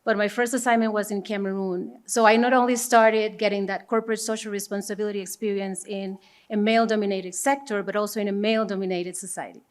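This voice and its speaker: A woman's voice: mid-range voice, fairly even intonation, fast. A woman from Panama, a native Spanish speaker and corporate executive, talking on a conference panel before an audience.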